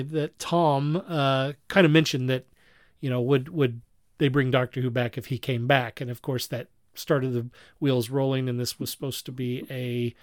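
A man hosting a podcast in a casual review chat, with a halting, rambling delivery in which he often corrects himself. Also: mid-range voice, no room sound, clean studio sound. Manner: chuckles while talking. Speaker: podcast host.

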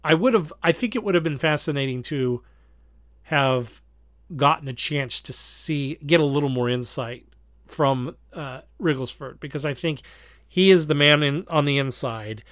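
A man co-hosting a podcast in casual, analytical fan discussion, with a measured, reflective way of working through his points. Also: fairly high pitch, a bit bright, no echo, clean studio sound. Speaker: podcast co-host.

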